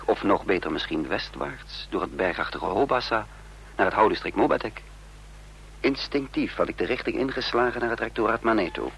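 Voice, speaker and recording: Een acteur die in een hoorspel een vastberaden voortvluchtige dubbelganger speelt, bedachtzaam sprekend en dan weer bevelend. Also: male voice, lowish voice, vocal fry, fairly bright tone. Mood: brooding. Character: resolute male fugitive impostor leading his companions. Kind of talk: radio drama acting. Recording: noisy old radio play recording.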